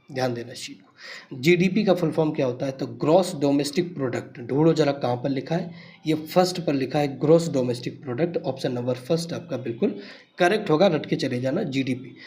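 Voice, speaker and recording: A man's voice: fairly high pitch, darkish tone. A man teaching exam questions, speaking emphatically and repeating key points as he addresses students familiarly. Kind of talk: quiz lesson explanation for exam students.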